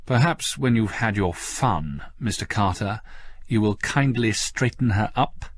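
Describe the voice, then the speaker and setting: A stern spaceship captain in a sci-fi audio story, acted out by a male narrator. His low voice is curt and commanding, with dry, formal sarcasm and a short temper. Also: animated, unhurried. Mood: cold, irritable.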